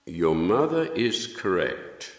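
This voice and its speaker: A man acting in an audio drama as a gentle, melancholic painter, speaking in a hesitant, solemn and philosophical way. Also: sits low, big pitch swings, slow pace, heavily acted. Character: gentle melancholic male painter guarding forbidden art. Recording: echoey hall with long reverb.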